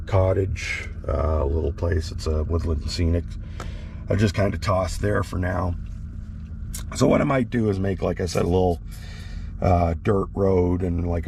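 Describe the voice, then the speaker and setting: Low, gravelly male vlogger, slightly crackly from a cold, rambling and relaxed, recording in a truck sleeper bunk. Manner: rambling, relaxed, lots of fillers and restarts. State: slightly crackly voice, recovering from illness. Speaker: model railroad vlogger. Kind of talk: casual walkthrough vlog.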